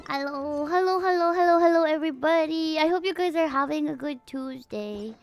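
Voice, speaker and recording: A woman's voice: high voice, a little fast. A young adult woman chatting casually to viewers on a livestream in a chatty, rambling way. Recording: music playing behind. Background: background music.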